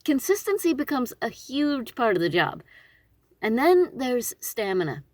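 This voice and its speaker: A woman giving a casual instructional talk, conversational and expressive, with emphatic phrasing. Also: on the high side, a little slow, no room sound. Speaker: audiobook narrator and voice actor.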